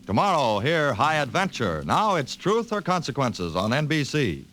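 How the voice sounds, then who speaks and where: Animated American radio credits announcer. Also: male voice, medium pitch, normal speed. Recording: vintage radio recording with music.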